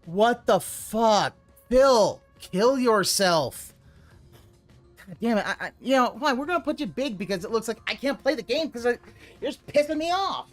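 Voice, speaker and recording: A streamer giving live reaction commentary on a video, ranting and cursing, sometimes breaking into loud yelling. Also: mid-range voice, animated, moderate pace, soft background music.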